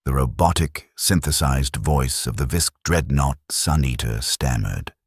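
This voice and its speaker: Deep-voiced audiobook narrator, swinging dramatic intonation. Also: male voice, breathy edge, no room sound, clean studio sound.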